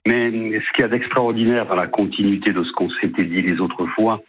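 A man in his fifties calling into a radio show, tired and halting, repeating words as he rambles. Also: lowish voice, fairly even intonation, slight background noise. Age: 50s. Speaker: caller. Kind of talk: phone-in confiding. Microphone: phone. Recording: tinny phone line.

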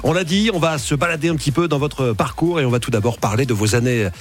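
A man hosting a casual radio interview, chatting in an unhurried way with many hesitations. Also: mid-range voice, faint music behind. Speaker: radio host. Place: radio studio. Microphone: studio microphone.

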